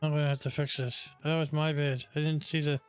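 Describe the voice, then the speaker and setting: A man's voice: a little high, flat delivery, brisk, smooth, soft background music. A man giving casual, offhand commentary as he plays through a video game. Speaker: gamer commentator.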